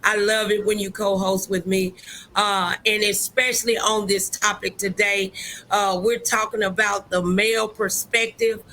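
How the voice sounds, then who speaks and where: A woman hosting a conversational relationship talk show, speaking in a halting, deliberate way with drawn-out phrases. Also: medium pitch, level tone, bright, forceful tone, slight background noise.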